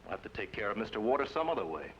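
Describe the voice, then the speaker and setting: A man's voice: on the low side, big pitch swings, very fast, fairly bright tone. An actor playing a stern older-brother lawman who gives curt, clipped orders, in an old radio drama. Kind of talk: 1940s radio acting. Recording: muffled old radio broadcast with hiss.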